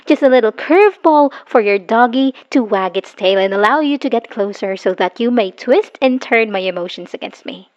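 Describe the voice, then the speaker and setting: A woman's voice: on the high side, expressive, natural voice. Muffled, wounded woman, acted, bitter and emotional. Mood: anguished, scornful. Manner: emotional, halting, sighing, dropping into whispers. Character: wounded, bitter woman leaving her abusive, obsessive partner. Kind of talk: dramatic ASMR audio roleplay monologue, voicemail confession. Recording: dull lo-fi voice recording.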